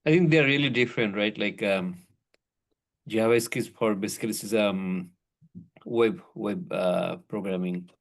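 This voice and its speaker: Low-ish guy student, unsure answer, kinda hesitant. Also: a little slow. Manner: hesitant, tentative. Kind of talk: casual answer in class.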